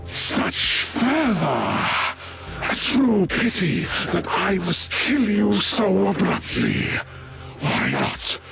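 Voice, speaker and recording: An actor playing a mocking, theatrical plague-worshipping traitor in a video game. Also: male voice, sits high, very animated, very slow, gravelly, loud music behind the voice, full character voice. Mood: gleeful menace. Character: gloating plague-worshipping traitor servant of dark god. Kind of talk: video game villain acting.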